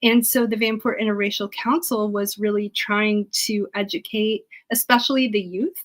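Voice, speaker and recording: A woman speaking as a guest historian, giving informal interview answers about research and thinking aloud with frequent pauses and hedges. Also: mid-range voice, steady tone.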